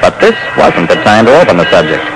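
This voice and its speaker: A man's voice: sits low, sing-song, fast talker, over music. An actor playing a private detective who narrates his casebook in old-time radio style, on a noisy vintage recording. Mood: dry, brisk storytelling.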